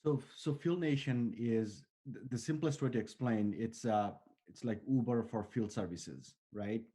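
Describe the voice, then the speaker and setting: Male founder, forties, from Bangladesh; relaxed dark timbre, earnest conversational answers. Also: medium pitch, unhurried. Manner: earnest, talks in long strings of restarts and fillers. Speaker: startup founder, interview guest.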